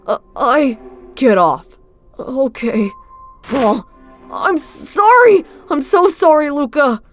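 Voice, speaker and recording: A stammering, self-loathing teenage boy from a fan comic dub, voiced by a woman. He talks in a middle pitch and trips over his words. Faint music plays under him. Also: moderate pace, lightly acted. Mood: remorseful. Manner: stammering, anxious.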